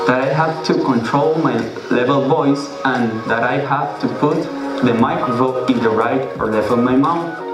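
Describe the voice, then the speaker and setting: A young man from Costa Rica with a Costa Rican accent, a native Spanish speaker, giving a prepared student presentation. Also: medium pitch. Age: young adult. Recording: echoey, slightly distant, loud music behind.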